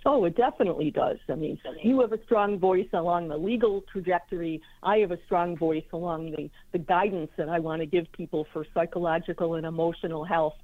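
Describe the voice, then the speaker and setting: A woman's voice: lowish voice, fairly quick, hardly any pauses. Fluent woman nurse on a tinny phone line. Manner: fluent, explanatory. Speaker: psychiatric nurse practitioner, guest. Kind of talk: radio interview.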